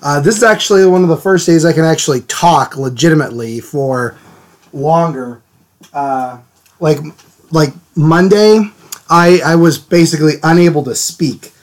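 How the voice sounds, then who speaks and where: Slightly hoarse man chatting on a live stream. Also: a little high, normal speed. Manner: chatty, greets viewers by name, many fillers. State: hoarse, recovering from a cold. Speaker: art stream host. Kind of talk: casual live-stream chat. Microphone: desk mic, sometimes turned away from it.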